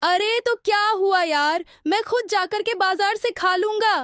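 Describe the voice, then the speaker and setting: A woman's voice: very high voice, unhurried, fairly bright tone, no room sound, studio quality. A woman voicing a hungry village boy who pleads with his mother and a shopkeeper for samosas. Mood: pleading. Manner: whiny, pleading. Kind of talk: cartoon voice acting.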